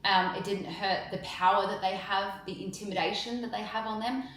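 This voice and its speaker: A woman's voice: mid-range voice, fairly even intonation, moderate pace, fairly bright tone, poor audio. A woman speaking outspokenly and with emphasis, answering interview questions and giving a direct update. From Australia. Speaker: campaigner being interviewed.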